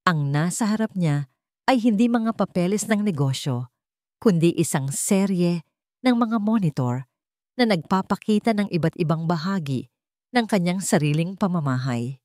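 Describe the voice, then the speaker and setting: Low-voiced woman narrating a dramatic story. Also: lively intonation, moderate pace, darkish tone, dry recording, clean studio sound.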